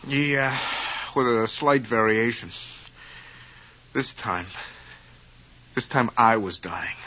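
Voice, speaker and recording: An agitated, irritable husband in an intense radio drama. His forceful voice swings widely in pitch, on a slightly muffled vintage recording. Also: big pitch swings, measured pace, exaggerated voice. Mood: shaken. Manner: agitated, snappish. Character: irritable, haunted husband plagued by nightmares.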